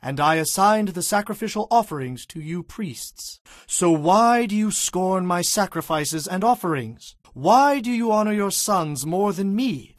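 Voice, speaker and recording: A stern prophet in an audio Bible drama. He declaims solemnly in a fairly high voice. The recording is dry and close. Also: no echo. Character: stern prophet delivering God's judgment.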